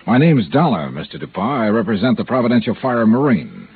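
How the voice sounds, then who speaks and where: Casting: hardboiled but easygoing American investigator, animated delivery, muffled vintage radio sound with music behind. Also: male voice, on the low side, fast talker, a little hiss or hum. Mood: dry, insistent. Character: wry, brisk male insurance investigator, hardboiled but easygoing. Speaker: lead actor and narrator. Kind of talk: 1950s American radio detective drama with first-person narration.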